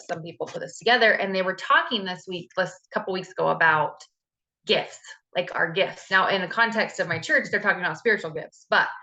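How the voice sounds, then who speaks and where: A woman's voice: medium pitch, pushed and bright. A woman team leader giving an earnest, encouraging talk to her team on a call, with frequent fillers. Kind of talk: motivational talk on a video call.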